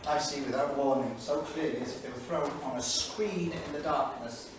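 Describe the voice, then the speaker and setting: A man's voice: mid-range voice, normal speed, close to an everyday voice. A man playing a bitter, grieving 1938 academic, reading his journal aloud in a solemn, literary, emphatic way on stage. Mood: haunted. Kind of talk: staged dramatic reading of diary entries. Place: small studio theatre, staged reading. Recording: echoey theatre, distant mic, faint noise.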